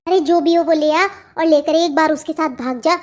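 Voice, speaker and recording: Woman voicing a scheming, high-voiced young sister-in-law. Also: steady tone, moderate pace, natural voice. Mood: sly, coaxing. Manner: coaxing, reassuring, sly. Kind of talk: melodramatic family cartoon dialogue.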